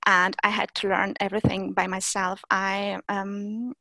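A woman's voice: medium pitch, level tone, measured pace, creaky, sharp tone, dry recording, rough recording. A woman whose first language is Russian, speaking English as an interview guest about teaching German, with many fillers and restarts. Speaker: German teacher and Instagram creator. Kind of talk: interview guest, casual conversation.

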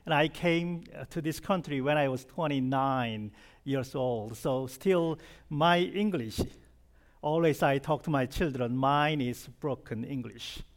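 A man's voice: mid-range voice, unhurried. A middle-aged man from South Korea with a Korean accent, preaching a chatty, humorous sermon and asking the congregation questions. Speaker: pastor. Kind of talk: conversational sermon.

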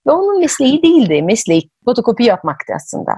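A woman hosting an interview, telling personal stories in a conversational, expressive way. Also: on the high side, fast talker, breathy edge, dry recording, studio quality. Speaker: podcast host. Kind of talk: conversational storytelling.

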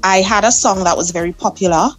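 Young Vincentian woman, soca artist guest, lively anecdotal delivery with easy laughs. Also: mid-range voice, quick pace, soft background music, rough recording. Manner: lively storyteller, laughs often. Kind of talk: interview storytelling.

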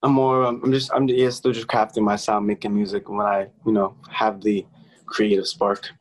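Halting young man, full of "like". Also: fairly low pitch, little pitch movement. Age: young adult. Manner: halting, lots of "like" and restarts. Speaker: musician guest. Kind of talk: casual interview.

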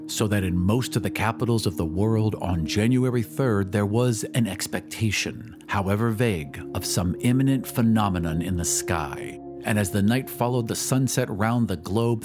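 A male narrator reads a story in a fairly low voice. His delivery is measured and solemn, shaped as dramatic scripted storytelling. Music plays clearly behind him throughout. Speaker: podcast host and narrator.

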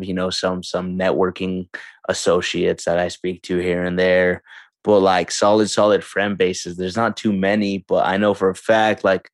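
A man in his twenties chatting casually in a relaxed, slangy way, calling his friend "bro". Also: low-pitched, dry recording. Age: 20s. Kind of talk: casual chat.

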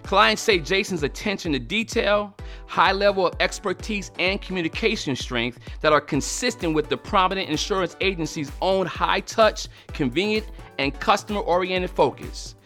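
A man's voice: sits high, lively intonation, normal speed, pushed and bright, faint music behind. A man hosting an interview show in an enthusiastic, slangy way and laughing often. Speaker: show host.

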